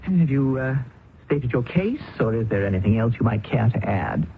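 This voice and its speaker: An idealistic young doctor pleads his case with earnest passion. His voice carries a gravelly edge and swings widely in pitch. The sound is thin and phone-like, as in an old radio broadcast, with faint hiss. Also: big pitch swings, moderate pace. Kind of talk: 1970s radio drama acting.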